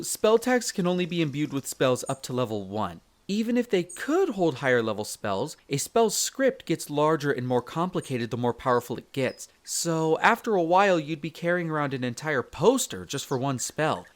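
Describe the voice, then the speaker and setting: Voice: mid-range voice, close to an everyday voice. A performer playing a young male elf explorer, a chatty, friendly guide speaking to the listener in an audio roleplay. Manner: expressive, chatty, stammers when flustered.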